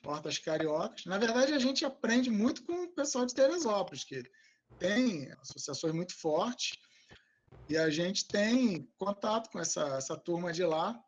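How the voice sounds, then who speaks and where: A man from Rio, answering informally and a little haltingly as an invited expert on an academic panel. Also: high-pitched, dull audio.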